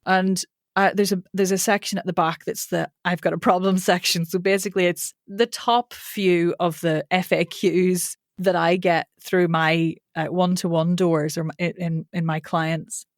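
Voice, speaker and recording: A woman's voice: lowish voice, level tone, normal speed, no echo, clean studio sound. A woman voice coach and author chatting informally as a podcast guest, laughing easily and dropping mild swear words. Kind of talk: casual interview chat.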